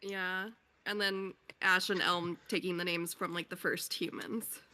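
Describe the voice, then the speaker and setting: A woman guesting as a voice actor on a casual livestream, animated and prone to giggling. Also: lowish voice, measured pace.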